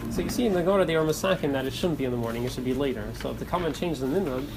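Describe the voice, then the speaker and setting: A man leading a religious study class, haltingly reading and explaining texts, repeating words as he goes in informal discussion. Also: medium pitch, gravelly, music in the background. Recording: noisy room recording.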